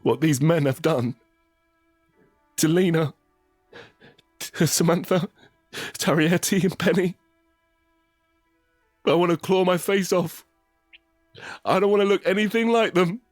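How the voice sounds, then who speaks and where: An actor playing an anguished, guilt-ridden survivor in a post-apocalyptic audio drama, brooding and halting, in a hushed confessional manner. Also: male voice, sits high, no echo, studio quality, soft background music. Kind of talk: dramatic first-person audio drama narration.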